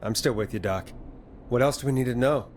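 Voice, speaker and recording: An actor playing a composed, caring late-night radio host in a video game, speaking in a steady, conversational way. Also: male voice, mid-range voice, brisk, slight background noise, close to an everyday voice. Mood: attentive, overwhelmed. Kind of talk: natural video game voice acting.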